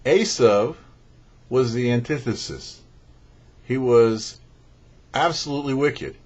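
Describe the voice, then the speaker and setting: A man's voice: medium pitch, slow, darkish tone, husky, lo-fi sound. A man, a rabbi hosting a show, delivers prepared religious commentary in a didactic way, posing questions and then explaining.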